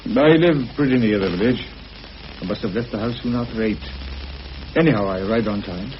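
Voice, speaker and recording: An actor playing an edgy, wary former prisoner of war in a British radio drama, nervously apologetic and correcting himself. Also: male voice, fairly low pitch, brisk, lightly acted. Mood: guarded. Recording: dull, hissy old radio drama recording.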